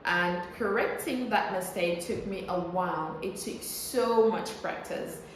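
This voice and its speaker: A woman's voice: fairly low pitch, measured pace. A woman coaching English pronunciation in a conversational, explanatory style, enunciating carefully and posing rhetorical questions like a teacher. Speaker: English pronunciation coach and air traffic controller. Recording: very echoey room with faint noise.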